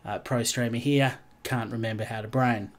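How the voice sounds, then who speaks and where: A man's voice: medium pitch, steady tone, moderate pace. A man commentating casually while he streams a racing game, stuffed up and nasal, rambling and greeting his viewers as he goes. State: congested, nasal.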